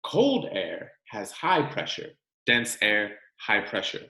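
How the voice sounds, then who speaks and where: A man reading a children's science book aloud as a teacher, expressive and explanatory, speaking directly to young students. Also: fairly low pitch, takes it slowly, reverberant.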